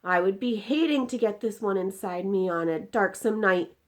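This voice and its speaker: Woman putting on a loud, distressed storybook giant. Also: medium pitch, voice pushed up, natural voice. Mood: emphatic explaining. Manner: exclaiming, emphatic, drawn-out words. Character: distressed, indignant giant with quirky mangled grammar.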